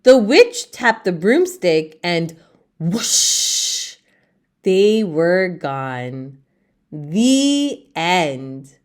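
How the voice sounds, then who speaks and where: A woman reads a children's story aloud in a theatrical way. She takes it very slowly, phrase by phrase, with wide swings in pitch. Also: sing-song. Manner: theatrical, deliberate phrasing for young listeners. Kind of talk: expressive children's read-aloud narration.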